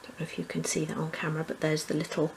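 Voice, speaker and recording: Low-pitched woman giving step-by-step craft instructions. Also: completely flat delivery, racing, gravelly, slight background noise. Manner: methodical, explanatory. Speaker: craft tutorial presenter. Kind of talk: hands-on step-by-step instructional demonstration.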